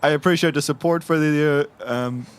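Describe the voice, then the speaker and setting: A man playing an awkward, earnest investigator who speaks haltingly and apologetically in improvised role-play. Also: fairly high pitch, steady tone, clear, resonant tone, lightly acted. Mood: sheepish.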